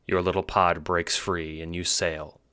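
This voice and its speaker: A man's voice: deep voice, level tone, a little slow, bright, forceful tone, smooth, dry recording. A man giving scripted narration for an audio book styled as a drama. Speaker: narrator, writer and producer.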